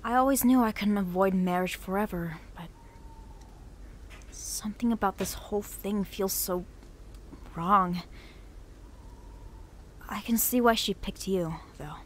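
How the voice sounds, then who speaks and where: A woman playing a dignified young pony princess in an audio drama, reserved and resigned, with measured speech. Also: mid-range voice, slight background noise, lightly acted. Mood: resigned, wary. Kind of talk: fan audio drama acting.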